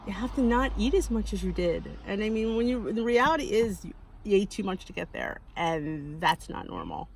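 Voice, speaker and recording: A woman's voice: lowish voice, slight background noise. A woman in her early fifties, talking candidly to camera in a rambling, self-deprecating way and often restarting her sentences. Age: 50s. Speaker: weight-loss vlogger. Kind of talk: casual talking-to-camera monologue.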